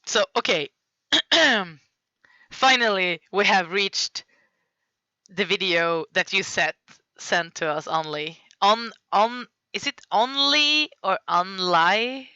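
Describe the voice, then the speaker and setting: A woman's voice: mid-range voice, slow pace, pushed and bright, clear, resonant tone, dry recording, dull audio. A woman with a Swedish accent, streaming and chatting casually as she reacts to fan videos, often laughing and apologizing as she stumbles over names. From Sweden. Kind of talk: casual live-stream commentary.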